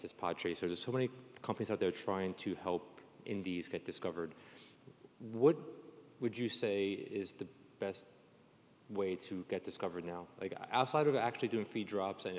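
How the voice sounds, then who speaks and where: Man moderating a panel in a reverberant hall. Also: low-pitched. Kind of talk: casual panel discussion.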